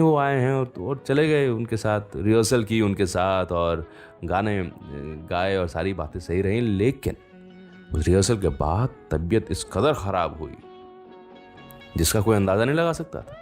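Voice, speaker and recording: A man hosting a radio show, telling stories conversationally with unhurried pauses and fillers. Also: mid-range voice, a little mellow. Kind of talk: conversational storytelling radio presenting.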